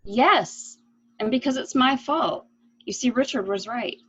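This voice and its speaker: A woman's voice: on the high side, measured pace, fairly bright tone, husky, dull audio. A woman playing a guilt-ridden yet decisive lady of the house in an amateur mystery play, speaking earnestly. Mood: regretful, troubled. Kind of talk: amateur murder mystery radio play acting.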